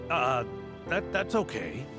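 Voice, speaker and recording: A nervous, hesitant man in a game drama. He stammers along slowly in a fairly high, swooping voice. Loud music plays behind him. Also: big pitch swings. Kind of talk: dramatic video game cutscene acting.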